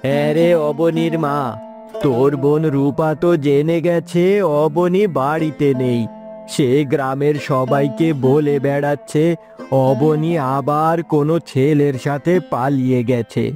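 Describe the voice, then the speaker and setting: A man playing an elderly village uncle in a cartoon, dramatic and ominous with drawn-out words. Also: fairly high pitch, steady tone, darkish tone, faint music behind, close to an everyday voice. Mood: grim, alarmed.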